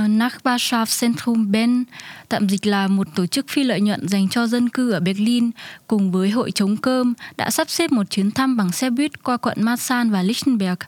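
A woman's voice: a little high, darkish tone, dry recording. A woman co-hosting a radio magazine from a script.

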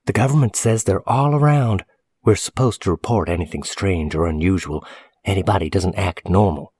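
A man's voice: low voice, moderate pace, darkish tone, breathy edge, dry recording, studio quality. A man voicing a friendly but wary boarding-house landlady in a chatty, polite way for a story. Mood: curious, wary.

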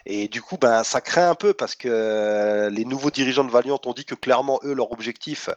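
A man's voice: mid-range voice, moderate pace, no room sound, muffled recording. Congested man with a head cold. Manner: hesitant, many fillers. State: stuffy nose from a cold. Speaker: comics news presenter. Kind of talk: casual podcast chat.

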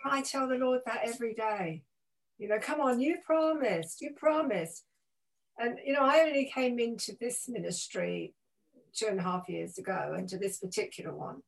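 A woman's voice: a little high, moderate pace, vocal fry, rough recording. Eighty-year-old woman, reflective radio pastor. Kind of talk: casual, reflective conversation.

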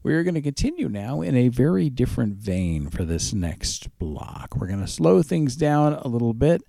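A man's voice: mid-range voice, very animated, normal speed, creaky, dark tone, no echo, clean studio sound. A man hosting a music radio show, presenting in a casual, chatty way.

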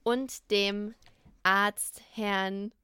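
A woman's voice: medium pitch, fairly even intonation, extremely slow, pushed and bright, clear, resonant tone, dry recording, studio quality. A young woman hosting a radio show, chatting directly to her listeners in a friendly way. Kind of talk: casual radio outro.

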